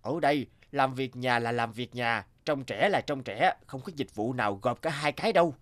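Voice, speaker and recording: A man's voice: mid-range voice, moderate pace, a bit bright, lightly acted. A man voicing a patient, sensible office colleague in a cartoon, explaining things earnestly and with measure. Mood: earnest, reproving. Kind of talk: animated moral-tale voice acting.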